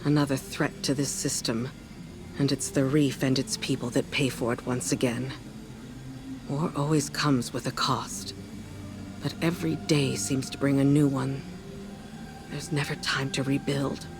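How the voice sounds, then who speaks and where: A woman playing a stern, war-weary military commander in a video game, speaking firmly and gravely. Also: extremely low pitch, flat delivery, a little slow. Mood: grim, weary. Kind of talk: scripted game dialogue.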